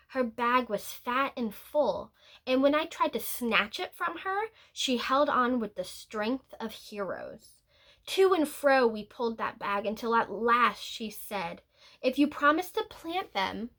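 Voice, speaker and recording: A woman reading a picture book aloud as a teacher would, in a deliberate, expressive storytelling manner with drawn-out words and pauses. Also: fairly high pitch. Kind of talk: picture-book read-aloud, scripted narration with brief asides.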